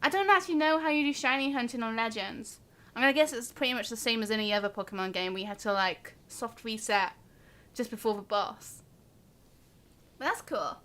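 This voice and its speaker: A woman's voice: on the high side, brisk, sharp tone. A woman chatting casually and bubbly as she hosts a gaming livestream, rambling along and talking to her viewers. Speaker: livestream host.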